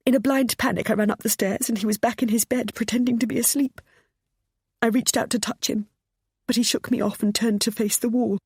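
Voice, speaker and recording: A woman voicing a frightened, tearful middle-aged mother who speaks in a high, breaking voice. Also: fairly even intonation, a little fast, darkish tone, breathy edge, dry recording, studio quality. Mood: distraught.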